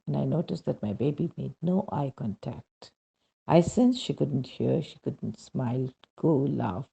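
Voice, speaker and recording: A very dark, mellow voice reads a devotional testimony aloud. It is heavily creaky and quite husky, with emphatic, dramatic phrasing that sets each short phrase apart. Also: medium pitch, poor audio. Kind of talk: scripted narration of a devotional testimony.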